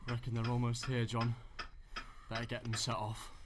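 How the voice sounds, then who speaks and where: An actor playing a sardonic young First World War soldier in a tunnel, in an audio drama. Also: male voice, mid-range voice, completely flat delivery, normal speed, close to an everyday voice. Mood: tense. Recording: very noisy, clicking, off-mic.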